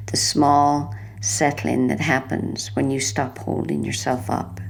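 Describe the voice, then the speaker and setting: A woman with a low, gravelly-edged voice leads a guided sleep relaxation. Her delivery is gentle and hushed, with soft creak at the ends of phrases. There is faint noise behind her. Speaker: hypnotherapy narrator.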